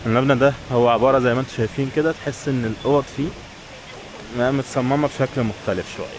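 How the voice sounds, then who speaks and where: An Egyptian man speaks very quickly in a mid-pitched voice, chatting informally as he vlogs outdoors. He addresses viewers directly in a casual way, with clear street and traffic noise behind him. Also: poor audio. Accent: Egyptian Arabic. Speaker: travel vlogger. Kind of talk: casual vlog chat to camera.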